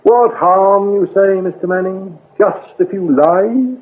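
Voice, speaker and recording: An actor playing a shrewd, scornful British police inspector interrogating in a radio drama, sardonic and accusing. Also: male voice, sits high, slow pace. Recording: lo-fi, narrow-band radio drama recording.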